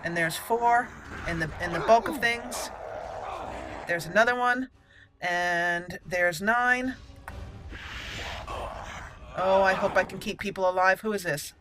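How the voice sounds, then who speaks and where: A woman's voice: medium pitch, slow pace, pauses often, background noise. A woman hosting a gaming channel, giving casual play-by-play and thinking aloud as she narrates each move. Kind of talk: casual gameplay commentary. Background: game music.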